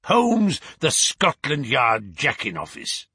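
A man's voice: on the low side, sing-song, slow, sharp tone, husky, no echo, studio quality, exaggerated voice. A man voicing a huge, furious old doctor villain in a storybook reading, blustering and threatening with a snarl. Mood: scornful fury. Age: older.

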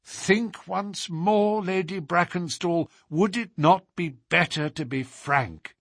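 A man voicing a courteous, assured gentleman detective with a measured, persuasive manner in an audiobook story. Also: high voice, gravelly, breathy edge, no room sound, studio quality, voice pushed up, full character voice. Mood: firm.

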